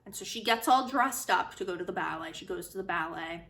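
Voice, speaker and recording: A woman giving a casual, chatty spoken book review, retelling and commenting in an animated way. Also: lowish voice, fairly quick. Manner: animated, chatty, acts out quotes informally. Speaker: book reviewer, host of a literary video series.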